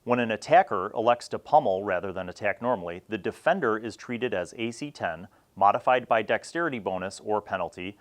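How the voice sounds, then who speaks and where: An American man from Chicago hosting a retro gaming review, talking casually and haltingly as he thinks aloud. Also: fairly low pitch. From Chicago, United States. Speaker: host of a retro gaming magazine review series. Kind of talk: casual rambling review to camera, leafing through a magazine.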